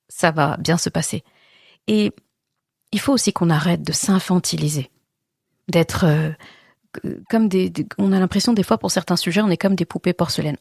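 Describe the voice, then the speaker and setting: A woman's voice: on the low side, darkish tone, no room sound, studio quality. A woman hosting a podcast and giving a religious teaching talk, earnest and reassuring as she speaks straight to the listener. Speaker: podcast host.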